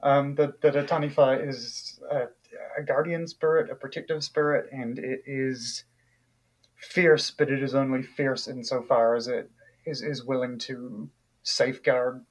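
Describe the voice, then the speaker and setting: A man's voice: medium pitch, flat delivery, unhurried, poor audio. A man from New Zealand with a New Zealand accent, an author talking casually in an interview, thoughtful and full of fillers and restarts.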